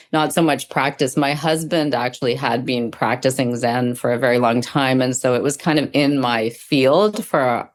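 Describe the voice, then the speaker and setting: A middle-aged woman speaking as an interview guest, thoughtful and reflective, often pausing as she explains her practice. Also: rumbling low. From Sri Lanka. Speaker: guest, dance teacher and Zen practitioner.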